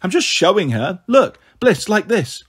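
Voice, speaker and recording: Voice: medium pitch, sing-song, a little slow, breathy edge, pitched above the performer's own voice. A reader voicing a cheeky, boisterous small boy in a storybook, silly and bold. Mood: showing off.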